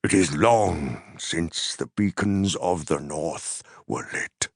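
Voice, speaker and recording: A man voicing an ancient wise wizard who speaks gravely and commandingly in solemn, archaic, dramatic phrases. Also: low-pitched, takes it slowly, no room sound, clean studio sound, heavily acted. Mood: hushed, grave.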